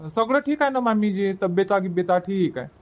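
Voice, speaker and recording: A man's voice: sits high, animated, fairly quick. Man as an easygoing cartoon village uncle, Varhadi Marathi accent. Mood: casual. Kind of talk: cartoon comedy dubbing.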